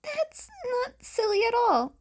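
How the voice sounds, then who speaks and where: Nervous, kind teen boy hero played by a woman, high and swooping, halting delivery, audio storybook. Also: sing-song, exaggerated voice. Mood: gentle, reassuring. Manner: halting, earnest, stammering. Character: nervous, soft-hearted teenage boy vigilante.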